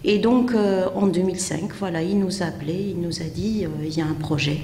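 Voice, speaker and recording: A middle-aged woman from Belgium being interviewed, telling her story in a hesitant, measured way with frequent pauses. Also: lowish voice, mellow. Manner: hesitant, measured, pausing often. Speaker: interview guest, community association member. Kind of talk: unscripted personal account. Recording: echoey hall, faint background noise.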